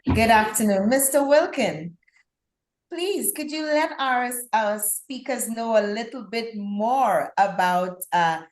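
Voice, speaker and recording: A woman's voice: fairly high pitch, lively intonation, slow pace, roomy sound. A woman hosting a live interview, courteous and chatty. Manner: courteous and chatty, light laughter. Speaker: host and court official.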